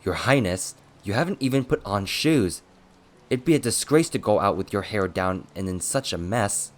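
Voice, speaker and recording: A man's voice: lowish voice, lively intonation, normal speed, natural voice. A man voicing a blunt, hot-tempered male attendant who scolds and complains brashly in a storybook reading. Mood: exasperated.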